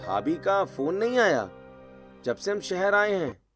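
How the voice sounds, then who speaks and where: A man's voice: high voice, sing-song, measured pace, fairly bright tone, faint music behind, full character voice. A man voicing a mild, hesitant young husband in a dramatized Hindi story.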